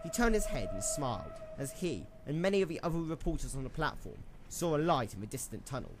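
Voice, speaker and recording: A man narrates a scripted story. His voice is a little high, with lively rises and falls. The home recording carries faint background noise. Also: fast.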